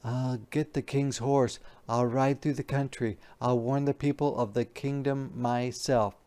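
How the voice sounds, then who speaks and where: A man's voice: medium pitch, fairly even intonation, a little slow, a little mellow, natural voice. A man voicing an earnest, urgent young page from a royal palace in a storybook. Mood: urgent, resolute. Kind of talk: storybook character reading.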